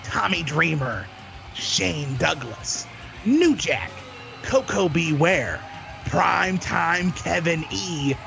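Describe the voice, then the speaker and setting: Hyped ringside commentator played by a man, high and creaky, dramatic announcing over faint music. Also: lively intonation, slow, heavily acted. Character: excited, polished male wrestling TV play-by-play announcer. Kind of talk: wrestling commentary in amateur audio play.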